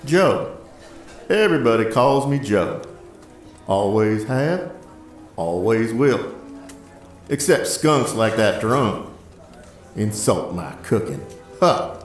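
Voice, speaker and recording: A man's voice: on the low side, lively intonation, takes it slowly, pauses often. Grumbly old diner guy, wry, takes his time, roomy with faint music. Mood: grumbling. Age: older. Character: gruff, proud gray-haired old diner owner. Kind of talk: audio drama acting.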